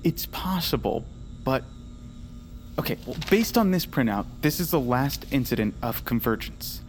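A man playing an awkward, earnest archive researcher in a naturalistic audio drama, halting, apologetic and bookish. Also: mid-range voice, lively intonation, a little hiss or hum, close to an everyday voice. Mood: earnest, explaining.

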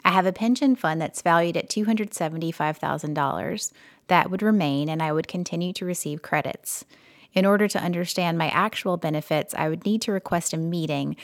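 A woman co-hosting a personal finance podcast, reading a listener's letter aloud in a steady, measured way. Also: fairly low pitch, dry recording. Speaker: podcast co-host.

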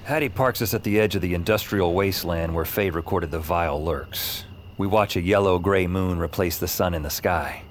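An actor playing a weary, self-mocking noir hero, narrating in the first person in an audio drama with a dry, wry manner. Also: male voice, deep voice, normal speed, slight background noise, lightly acted. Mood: brooding. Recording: voice over music.

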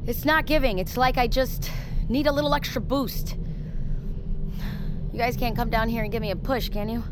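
A woman's voice: a little high, fairly even intonation, moderate pace, background noise, close to an everyday voice. An actress playing a plain-spoken, stoic woman submersible pilot in a naturalistic audio drama. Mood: strained, frustrated. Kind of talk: naturalistic audio fiction acting. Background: music, vehicle sounds.

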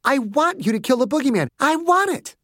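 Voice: sits high, big pitch swings, fairly quick, sharp tone, long reverb tail, voice pushed up, exaggerated voice. A narrator voicing a bossy, cruel little girl who gives orders in a childish, spoiled way, in an audiobook story. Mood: angry, threatening.

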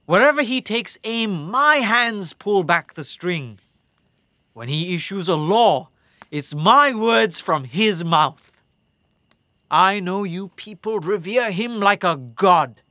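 A man's voice: sits high, lively intonation, slow, sharp tone, dry recording, clean studio sound, heavily acted. A man playing a captured enemy warlord in an audio drama, flippant and cocky, with a theatrical, taunting, wry delivery. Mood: grandiose, self-assured.

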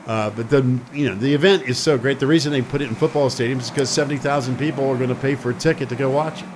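A man in the host's chair of a sports talk radio show. His voice sits at an ordinary middle pitch, and he talks in an emphatic, chatty way, often repeating himself. A faint hiss sits behind him. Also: fast talker.

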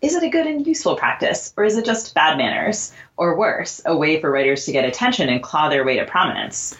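A woman's voice: low voice, very animated, fairly quick, a bit bright. A woman co-hosting a podcast, articulate and polished as she introduces guests and asks questions. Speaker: podcast co-host. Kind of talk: scripted introductions and interview questions.

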